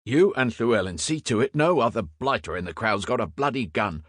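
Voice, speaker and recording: A man voicing a hot-tempered, commanding Victorian London police inspector in an audiobook, gruff, blunt and imperious. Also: medium pitch, animated, fairly quick, fairly bright tone, dry recording, clean studio sound, pitched above the performer's own voice. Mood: urgent.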